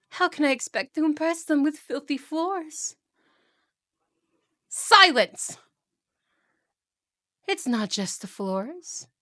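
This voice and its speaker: Slow, haughty evil queen voiced by a woman. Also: mid-range voice, a bit bright, dry recording, clean studio sound. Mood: scornful, demanding, then furious, then accusing. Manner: imperious, scolding, condescending. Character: haughty, cruel evil queen and wicked stepmother. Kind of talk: fantasy ASMR roleplay acting.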